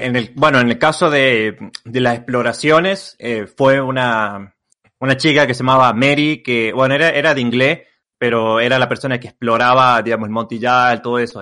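A man's voice: mid-range voice, moderate pace, bright, forceful tone. Young Argentine guy, creator being interviewed, loose rambling delivery full of fillers. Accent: Argentine Spanish. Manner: rambling, many fillers and restarts. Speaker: content creator guest. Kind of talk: casual interview chat.

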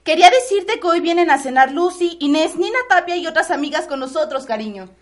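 A woman's voice: very high voice, expressive, brisk. A woman playing a tender, devoted lady of the house in a melodramatic radio soap, with a Mexican accent. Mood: affectionate, bright. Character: grieving, devoted wife and genteel lady of the house. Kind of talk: radio soap opera acting.

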